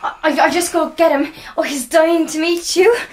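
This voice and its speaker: An actress playing an eager, apologetic young Irish household helper in an audio drama, polite and flustered. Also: female voice, very high-pitched, fairly even intonation, normal speed, background noise. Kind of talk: audio drama acting with gentle singing.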